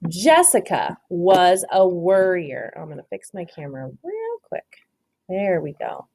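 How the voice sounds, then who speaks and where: A woman reading a children's story aloud expressively, pausing to ask simple questions and encourage answers. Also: on the low side, wildly swooping pitch, a little mellow.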